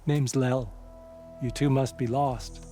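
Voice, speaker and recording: A man's voice: mid-range voice, fairly even intonation, slow pace, warm tone, natural voice. An actor playing a friendly old male scavenger in a video game, with a knowing, storytelling drawl. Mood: wry. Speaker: game character. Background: game music.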